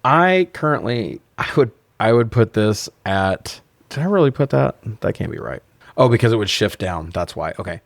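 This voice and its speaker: A man's voice: lowish voice, lively intonation, moderate pace, vocal fry, no echo. A man co-hosting a podcast in a casual film review chat, opinionated and joking, with some swearing. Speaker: podcast co-host.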